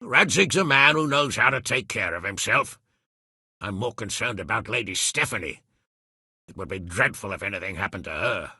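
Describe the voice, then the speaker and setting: A hot-tempered medieval lord and commander from a video game. His voice is very forceful and hard-edged, gravelly and husky, with blunt, blustering delivery. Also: fairly low pitch, lively intonation, normal speed, dry recording, full character voice. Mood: grave, weary. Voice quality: raspy, gravelly. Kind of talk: dramatic video game voice acting.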